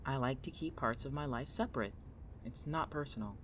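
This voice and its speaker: A man's voice: medium pitch, brisk, fairly bright tone, a little hiss or hum, close to an everyday voice. A man playing a guarded, irritable but protective young student in an audio drama, speaking in a blunt, dry, terse way. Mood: wary, terse.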